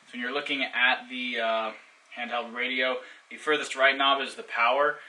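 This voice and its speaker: A man's voice: mid-range voice, level tone, moderate pace, bright, forceful tone, poor audio. A man giving a casual hands-on product demonstration in an informal, explanatory way. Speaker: product reviewer.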